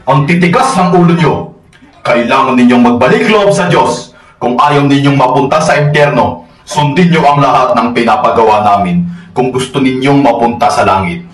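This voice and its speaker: A man's voice: medium pitch, lightly acted. A young Filipino man playing an arrogant, domineering Spanish colonial friar in a theatrical monologue, pompous and scolding. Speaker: student performer of a monologue. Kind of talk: theatrical Tagalog stage monologue. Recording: echoey room recording.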